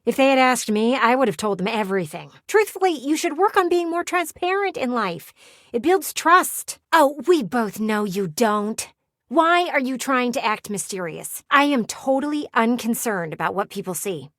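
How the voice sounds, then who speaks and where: A woman's voice: on the high side, expressive, moderate pace, no room sound, clean studio sound. A woman voicing a nosy, sassy talking window in a video game, chatty and theatrical like a gossiping neighbor. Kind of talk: video game character voice acting.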